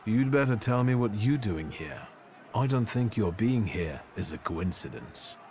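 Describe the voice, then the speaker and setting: A man's voice: fairly low pitch, very animated, a little slow, a little mellow, a little hiss or hum. An actor playing a guarded, blunt male occultist in a video game, with a probing manner. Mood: suspicious. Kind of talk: dramatic video game acting.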